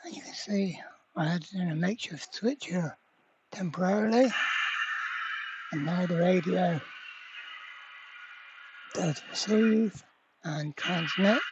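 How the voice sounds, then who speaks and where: A repair channel host giving a casual hands-on demonstration at a workbench, halting and thinking aloud while working. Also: mid-range voice, dull audio, noisy background. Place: indoor workbench.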